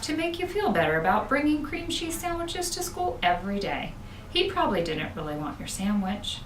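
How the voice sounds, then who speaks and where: A woman's voice: medium pitch, very animated, moderate pace, pitched above the performer's own voice, close to an everyday voice. A woman reading the part of an earnest, literal young schoolboy in a children's storybook, matter-of-fact and hesitant. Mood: explaining. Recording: roomy, distant, noisy home-style recording.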